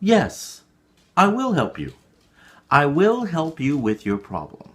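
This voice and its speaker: A man voicing a wise monk who gives firm advice in a measured, emphatic way for a story. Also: on the high side, wildly swooping pitch, fairly bright tone, voice pushed up, full character voice. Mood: reassuring. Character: calm, wise old-fashioned monk giving firm advice.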